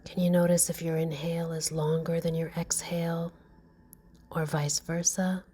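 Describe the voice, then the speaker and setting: A woman gently guiding a meditation in a soft, breathy, slow and soothing voice. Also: deep voice, flat delivery, a little hiss or hum. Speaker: meditation guide. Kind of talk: guided meditation narration. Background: music.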